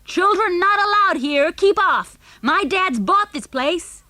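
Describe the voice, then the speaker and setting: A woman voicing a bratty, loud-mouthed young boy in a children's audiobook, yelling defiant taunts and whiny boasts. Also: very high-pitched, expressive, takes it slowly, no room sound, voice pushed up, exaggerated voice.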